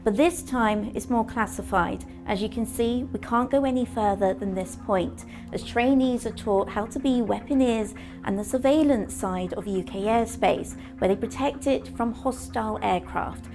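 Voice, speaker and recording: A woman's voice: on the high side, moderate pace, few pauses, music in the background. A British woman reporting to camera on location as a news reporter. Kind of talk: piece to camera and interviewing.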